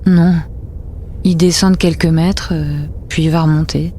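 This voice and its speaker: A woman's voice: low voice, steady tone, a little slow, mellow, a little hiss or hum. A woman acting as an uneasy, guarded mother in an audio drama, speaking naturally in a subdued, hesitant way. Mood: soothing, evasive. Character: uneasy, guarded young mother leaving home with her child.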